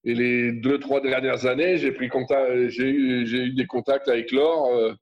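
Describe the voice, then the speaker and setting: A man's voice: mid-range voice, flat delivery. Hesitant fifty-something Frenchman on a muffled line. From France. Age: 50s. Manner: hesitant, halting storyteller with many fillers. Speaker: guest, association board member. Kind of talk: casual podcast interview.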